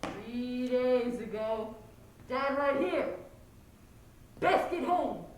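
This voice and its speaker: A woman's voice: a little high, animated, drawn-out words, a little hiss or hum. A young woman playing a haunted male pier watchman in a school stage play, preaching in incantatory, archaic biblical phrases. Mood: wary, warning. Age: teenager. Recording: echoey stage hall recording.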